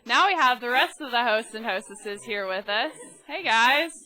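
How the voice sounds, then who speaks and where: A woman interviewing performers at a campus show, upbeat and quick with short affirmations. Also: fairly high pitch, animated, sharp tone, rough recording. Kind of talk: casual event interview.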